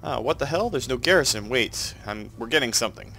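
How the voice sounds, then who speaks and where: A man's voice: mid-range voice, lively intonation, fairly quick, vocal fry, pushed and bright. A man with a Canadian accent and a head cold that makes him sound nasal, chatting casually as he plays and explains his moves. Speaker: let's play host. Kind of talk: casual gameplay commentary.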